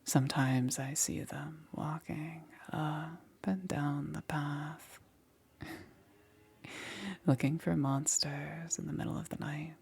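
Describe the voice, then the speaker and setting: A woman plays a soft-spoken witch in an ASMR roleplay, coaxing and soothing. Her low voice is very breathy and often drops to a whisper, with a creaky edge. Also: steady tone, exaggerated voice. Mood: coaxing, knowing. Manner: slow, hushed, soothing and gently persuasive. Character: soft, coaxing witch, a caring self-confessed monster.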